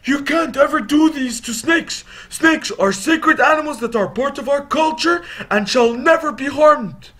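A man playing a stern father scolding his sons in a raised, high voice. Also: unhurried, few pauses, pushed and bright, heavily acted.

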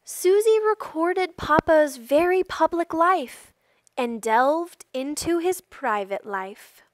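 High-voiced woman reading a storybook aloud. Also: lively intonation, takes it slowly, dry recording. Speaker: storybook reader. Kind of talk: expressive read-aloud of a children's picture book.

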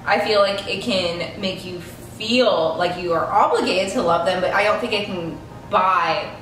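A woman's voice: medium pitch, unhurried, fairly bright tone, slight background noise. An American woman in her twenties hosting a casual question-and-answer chat while eating. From United States. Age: 20s. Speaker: vlog host. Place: indoor room at a table. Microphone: camera a few feet away. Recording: very echoey room, distant mic, music behind.

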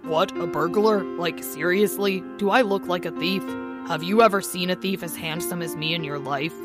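A woman's voice: on the low side, moderate pace, close to an everyday voice. A woman voicing a playful, teasing young man with a boastful manner. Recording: faint music behind.

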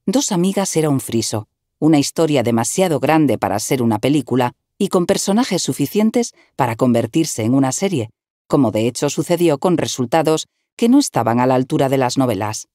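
A woman narrating a written article in an even, measured reading style, recorded close to the mic. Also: extremely low pitch, no echo.

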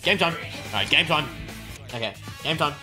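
A young adult man chatting excitedly on a gaming livestream, tossing out short repeated catchphrases. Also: on the high side, moderate pace, over music. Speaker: game streamer.

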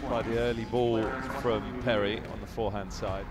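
A man giving play-by-play commentary on a squash match, brisk and lifting with excitement on big rallies. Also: lowish voice. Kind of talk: sports commentary. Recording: commentary over loud arena crowd noise.

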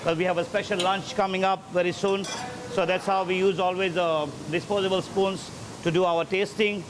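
A man's voice: high-pitched, little pitch movement, normal speed, background noise. A man, an executive chef, walking through and explaining his kitchen in a casual way, often repeating words as he goes. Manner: repeats words while gathering thoughts. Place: ship galley kitchen.

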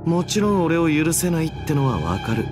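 A man's voice: fairly high pitch, very animated, moderate pace, over music. A man voicing a flippant, cynical young assassin in a Japanese video game, with a slangy, teasing drawl. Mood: resigned, taunting.

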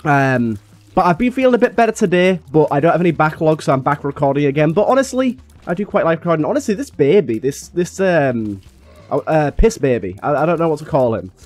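A young man with a slightly raspy voice from being a little ill, chatting casually and thinking aloud as he plays a game. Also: a little high, very animated, quick pace, darkish tone, soft background music. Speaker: gaming YouTuber. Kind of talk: casual gameplay commentary.

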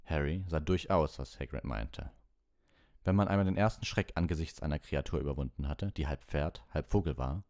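Very deep-voiced man narrating a storybook. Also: darkish tone, no echo, clean studio sound. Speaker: audiobook reader.

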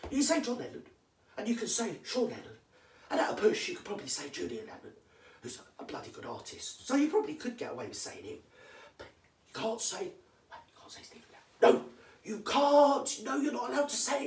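A vlogger with a London accent, rattling off comic celebrity gossip, answering their own questions and dropping into hushed asides. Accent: London English. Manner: drops to hushed asides, jumps to emphatic high yeses. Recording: echoey room, voice far from mic.

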